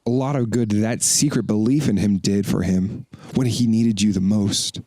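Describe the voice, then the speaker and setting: Wary, earnest disciple Thomas played by an actor. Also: male voice, lowish voice, steady tone, normal speed, dark tone, no room sound, natural voice. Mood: bitter suspicion. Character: Thomas, wary, questioning male disciple and builder. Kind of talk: audio drama acting.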